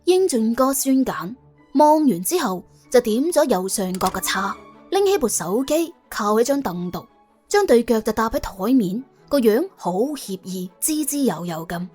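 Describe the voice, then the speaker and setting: A woman with a Cantonese accent narrating a comic crime story in a colloquial, wry, expressive way. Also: on the high side, a little slow, slightly rough, soft background music. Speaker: audiobook narrator.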